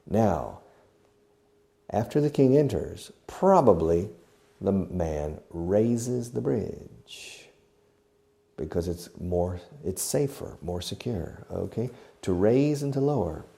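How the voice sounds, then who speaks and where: A man teaching a beginner English lesson, explaining slowly and acting out each phrase, with short glosses in another language. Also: low-pitched, expressive, vocal fry, warm tone. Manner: slow, deliberate, demonstrative. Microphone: video recording.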